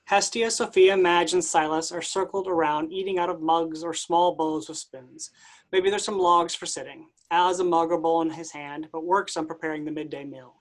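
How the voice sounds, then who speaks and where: A woman's voice: sits low, fairly even intonation, moderate pace, rough recording. A woman reads stage directions at a staged play reading. She sets out scenes and actions as a narrator, separate from the acted parts.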